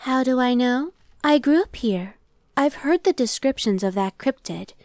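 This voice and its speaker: Female demon searcher, played by a woman: impatient, dismissive, conversational ASMR roleplay, slightly high pitch, very dry sound. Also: expressive, unhurried, a little mellow, dry recording. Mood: sardonic. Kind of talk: ASMR roleplay, one-sided dialogue.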